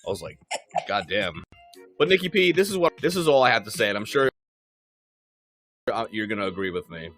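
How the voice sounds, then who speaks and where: A forty-year-old man with a fairly low voice co-hosts a casual podcast. He talks emphatically, circling back to repeat his points and swearing freely. Music plays behind him. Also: very animated, brisk, long pauses, fairly bright tone. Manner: emphatic, repetitive, profane. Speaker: podcast co-host. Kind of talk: casual conversational podcast chat.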